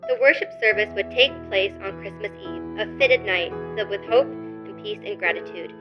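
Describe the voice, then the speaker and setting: A woman's voice: mid-range voice, moderate pace, over music. A woman reading scripted narration for an old-style radio play. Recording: dull lo-fi recording.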